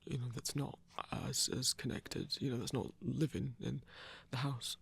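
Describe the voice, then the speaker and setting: Performer acting a halting, husky, gravelly-voiced hunter. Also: medium pitch, steady tone, a bit bright, exaggerated voice. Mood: flustered, evasive. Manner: halting, stammering, awkward, sighing. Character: flustered, protective werewolf hunter in love. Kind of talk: intimate ASMR roleplay acting.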